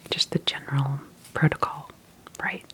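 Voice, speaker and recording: A woman doing a soft-spoken ASMR roleplay as a calm healthcare specialist, whispering gently and attentively in a breathy, unhurried, reassuring professional way. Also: poor audio.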